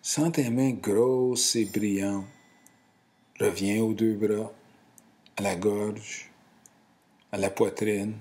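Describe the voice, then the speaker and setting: A man leading a guided relaxation in a calm, soothing and deliberate way, in short phrases. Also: fairly low pitch. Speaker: guided meditation instructor.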